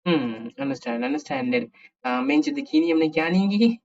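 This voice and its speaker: A man's voice: a little high, very animated, fairly quick, gravelly, darkish tone. A man with an Indian accent giving a casual coding tutorial, thinking aloud playfully and mixing Hindi with English. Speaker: programming tutorial host. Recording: dull lo-fi microphone sound.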